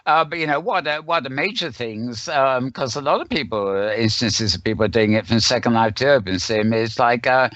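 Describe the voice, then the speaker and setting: A man hosting a casual online panel discussion, rambling and halting as he thinks aloud. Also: mid-range voice, a bit bright, dry recording. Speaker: host. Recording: dull, lo-fi online voice call.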